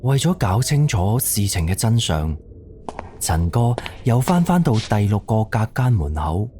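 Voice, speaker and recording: A fairly low male voice narrates a suspense story over clear background music. He speaks in a scripted radio-drama storytelling style. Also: sing-song, unhurried, mellow.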